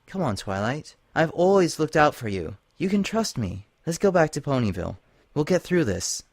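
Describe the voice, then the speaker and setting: A man voicing a gentle, reassuring female unicorn pony in a fan story reading, in a coaxing, soothing manner. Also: a little high, big pitch swings, normal speed, no echo, clean studio sound, voice pushed up.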